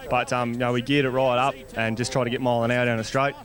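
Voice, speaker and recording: A man's voice: mid-range voice, little pitch movement, quick pace, a bit bright. An Australian man, a racing driver, giving casual interview answers outdoors at a race track.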